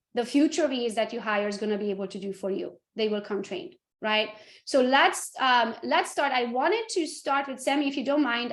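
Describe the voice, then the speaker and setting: A woman with a fairly high voice leads a training session, speaking in a roomy, echoing space. She keeps checking in with her audience by asking them to confirm each point. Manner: checks in with the audience using tag questions. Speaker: host and real estate team leader. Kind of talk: live training talk. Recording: roomy echo.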